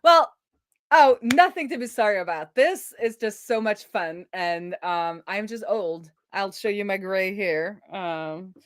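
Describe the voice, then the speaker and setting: A woman's voice: mid-range voice, expressive, unhurried, no echo. A middle-aged woman chatting casually on a coding livestream as its host, thinking aloud in an informal way. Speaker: live coding streamer and host.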